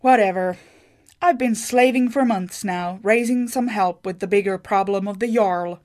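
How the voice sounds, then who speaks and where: A woman's voice: mid-range voice, normal speed, a little mellow, close to an everyday voice. A woman voicing a sly scheming sorceress. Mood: breezy.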